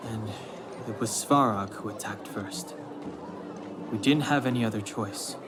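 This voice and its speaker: A man's voice: lowish voice, unhurried, over a noisy crowd, heavy background noise, lightly acted. An actor voicing a sober, considerate young male companion in a video game, serious and reassuring. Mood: reassuring, regretful. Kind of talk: video game cutscene dialogue. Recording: loud music behind the voice.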